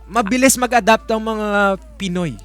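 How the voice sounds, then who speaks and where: A woman's voice: mid-range voice, moderate pace. A woman with a mid-range voice and a Filipino accent co-hosts an esports panel. She is enthusiastic and emphatic and moves freely between two languages, with music behind her. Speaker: esports broadcast co-host.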